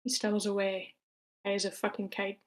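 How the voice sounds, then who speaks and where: Woman narrating screenplay action, medium pitch. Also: monotone, fairly quick. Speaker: stage directions narrator.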